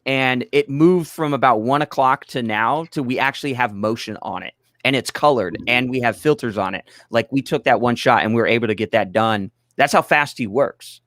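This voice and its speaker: A man's voice: medium pitch, normal speed, few pauses, fairly bright tone, no echo, studio quality. A man explaining things in casual chat, emphatic and rambling, often breaking off to restart his point. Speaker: project collaborator, guest.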